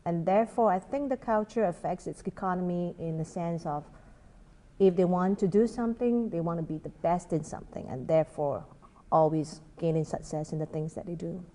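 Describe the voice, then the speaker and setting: A middle-aged woman, a college instructor, answers interview questions in a thoughtful way, sometimes restarting her sentences. Her voice sits a little low and sounds mellow. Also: brisk.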